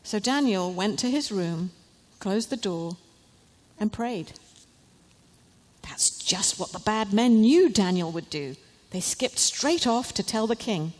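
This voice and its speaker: Female storybook narrator: slow, expressive, deliberate phrasing, live in a big echoing hall. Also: mid-range voice. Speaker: author reading her children's book. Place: large church, live event. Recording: live reading in a large reverberant hall.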